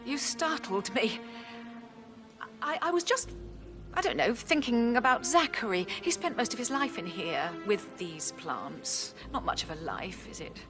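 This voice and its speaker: An actress playing a nervous young woman who talks in a flustered, hurried way in game dialogue. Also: on the high side, sing-song, music in the background.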